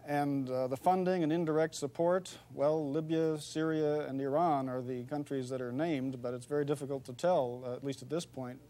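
A man's voice: fairly high pitch, fairly even intonation, moderate pace, hardly any pauses, warm tone. Middle-aged male expert guest, careful and hesitant, studio interview. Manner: thoughtful, hesitant, many fillers. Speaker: academic guest. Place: television studio.

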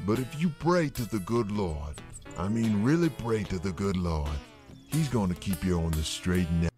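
Male actor as homespun cartoon storyteller, lowish voice, preachy, guitar music behind. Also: lively intonation, darkish tone, natural voice. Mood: earnest. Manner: slow, homespun moralizing. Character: folksy preachy cartoon narrator, a mustached tomato.

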